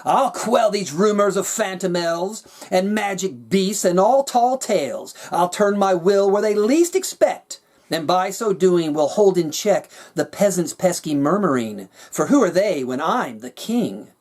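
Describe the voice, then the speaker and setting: A man's voice: sits high, lively intonation, unhurried, darkish tone. A man voicing a cold, scheming, power-hungry king in a rhyming storybook, imperious and menacing. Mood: scheming, defiant. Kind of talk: storybook character reading in rhyme.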